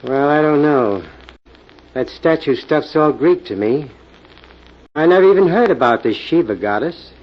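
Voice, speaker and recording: An actor playing a hard-nosed, coolly menacing American gambling club boss in a 1940s radio drama, firm and skeptical, on an old recording. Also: male voice, fairly high pitch, expressive, measured pace, muffled recording. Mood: skeptical, probing. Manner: firm, skeptical, tough-talking.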